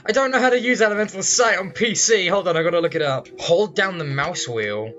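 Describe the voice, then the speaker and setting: A woman's voice: lowish voice, expressive, brisk, fairly bright tone. A woman gamer giving casual, chatty let's play commentary on a video game. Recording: dull mic, game music faintly behind.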